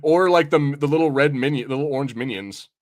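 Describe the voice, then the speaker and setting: A man's voice: medium pitch, quick pace. A man hosting a podcast, chatting casually in a rambling, informal, sometimes sweary way about what he has watched. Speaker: podcast host. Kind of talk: casual review chat.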